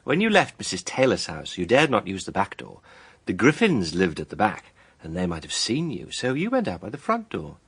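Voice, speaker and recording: An actor playing a self-assured, righteous accuser in a radio mystery drama, with emphatic, deliberate phrasing. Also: male voice, on the low side, wildly swooping pitch, fairly bright tone, husky, heavily acted. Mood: accusing, certain. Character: righteous, self-assured male accuser laying out a murder case.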